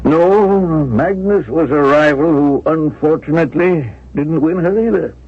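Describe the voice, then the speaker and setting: An actor playing a lovelorn old uncle in a 1970s radio drama, husky, dreamy and halting as he speaks. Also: fairly high pitch, dry recording. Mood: resigned, reflective. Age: older. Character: lovelorn old uncle, wistful, devoted to dead sweetheart. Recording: dull 1970s radio recording.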